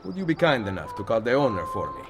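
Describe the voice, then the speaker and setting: An actor playing a commanding assassin leader in a video game, terse and firm, with an Italian accent. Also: male voice, on the low side, sing-song, fast talker, over music. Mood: firm, cool. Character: commanding young-to-middle-aged male Italian assassin leader. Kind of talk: video game cutscene acting.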